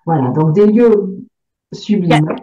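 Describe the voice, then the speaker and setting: A woman's voice: on the low side, slow, mellow. A woman author from southern France answering interview questions, hesitant, with many fillers and repeated words. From Aveyron, southern France. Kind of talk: interview answers about her book. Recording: distant, roomy, dull sound.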